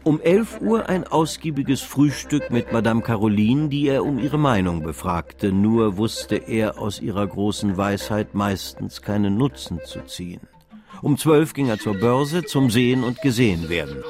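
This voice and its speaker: An actor narrating a radio drama as an urbane male storyteller, with a measured, wry delivery. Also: on the low side, lively intonation, darkish tone, soft background music.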